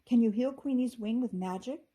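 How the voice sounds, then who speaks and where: A woman's voice: on the high side, moderate pace, mellow, voice pushed up, lightly acted. A woman voicing a helpful, curious young girl in a storybook, friendly and encouraging. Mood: concerned.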